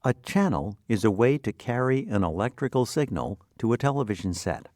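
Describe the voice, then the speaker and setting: Male narrator for educational material: low-pitched, deliberate, instructional, with lively intonation. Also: no echo, clean studio sound. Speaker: vocabulary lesson narrator.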